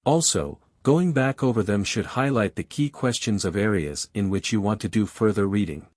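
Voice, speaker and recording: A man's voice: on the low side, lively intonation, normal speed, a little mellow, no echo, studio quality. A man reading a short scripted study passage aloud.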